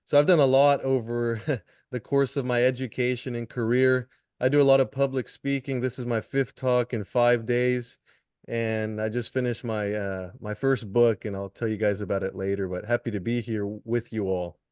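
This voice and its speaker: A man's voice: medium pitch, fairly even intonation, moderate pace, a little mellow, smooth, dry recording, clean studio sound. A man in his thirties speaking as a dietitian panelist on a video call, upbeat and conversational.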